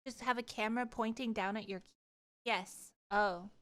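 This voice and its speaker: A woman chatting casually on a livestream, telling stories in an animated, rambling, giggly way. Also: medium pitch, moderate pace. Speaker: streamer. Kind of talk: casual livestream chat and storytelling.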